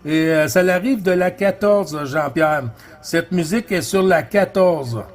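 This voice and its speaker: A man's voice: a little high, unhurried. A man with a Quebec French accent chatting casually as a CB radio operator, often repeating his phrases and addressing others in a friendly way. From Quebec, Canada. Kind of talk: casual radio contact chat.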